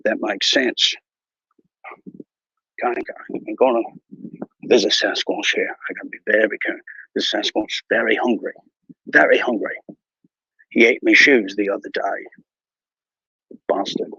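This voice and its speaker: A man playing a bumbling, boastful wilderness ranger who hosts a mock nature show, talking in a mock Australian accent with hushed, mock-serious narration and a husky voice. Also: on the low side, moderate pace, long pauses, dry recording, dull audio, heavily acted. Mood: hushed bravado. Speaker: mock wildlife show host. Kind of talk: improvised parody nature documentary.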